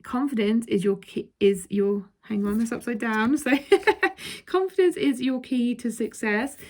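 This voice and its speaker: A woman with a croaky throat doing a casual card reading for her viewers. She speaks chatty and direct, often checking in with "okay?" and laughing between points. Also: fairly high pitch, a little slow, darkish tone. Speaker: intuitive card reader.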